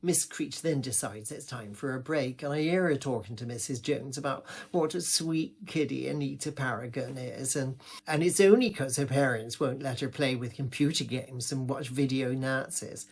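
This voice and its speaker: A man's voice: mid-range voice, normal speed, husky. A man with an English accent performing a gossipy comic monologue, rambling along in an indignant village-gossip manner.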